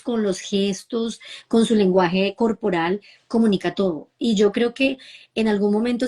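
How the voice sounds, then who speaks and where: A woman from Bogotá with a Colombian accent, a mother speaking earnestly as a guest and often checking in with "¿sí?". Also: medium pitch. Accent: Bogotá Colombian Spanish. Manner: warm, emphatic, repeats and asks "¿sí?" for agreement. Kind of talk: conversational talk.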